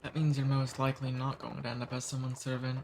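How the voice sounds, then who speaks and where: A man voicing a friendly, centuries-old male demon in an ASMR roleplay. He talks calmly and teases gently. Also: medium pitch, fast talker, gravelly, background noise, close to an everyday voice. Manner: calm, friendly, gently teasing.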